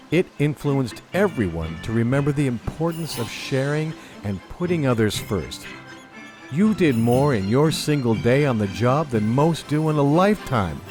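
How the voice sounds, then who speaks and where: An animated space hero played by a man from a comic sci-fi audio drama. He has a mid-pitched voice that swings expressively as he makes his earnest, persuasive case. Music plays behind him.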